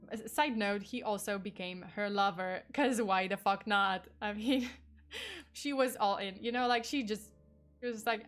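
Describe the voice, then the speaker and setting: Streamer, young woman, Polish accent, chatty and filler-heavy, middle pitch, soft music bed. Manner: chatty, laughs often, lots of fillers and swearing. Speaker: vtuber streamer. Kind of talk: casual true crime livestream.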